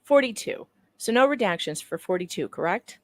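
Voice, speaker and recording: A woman's voice: mid-range voice, expressive, moderate pace. A woman doing a dramatic reading of courtroom dialogue in a conversational, theatrical way. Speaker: panelist reading court transcript parts.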